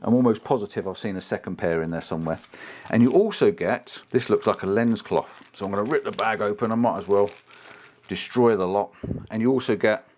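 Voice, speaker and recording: A man's voice: fairly low pitch, a little fast, darkish tone, a little hiss or hum. A man, chatting casually through an unboxing, upbeat and prone to repeating himself. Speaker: gear reviewer.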